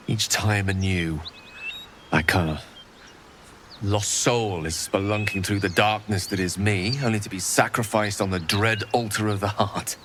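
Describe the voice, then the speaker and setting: An actor playing a grave, courteous, burdened adventurer in a video game, speaking in florid, measured phrases with a wry edge. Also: male voice, lowish voice, bright, forceful tone, a little hiss or hum. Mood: weary, confiding. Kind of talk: video game character acting.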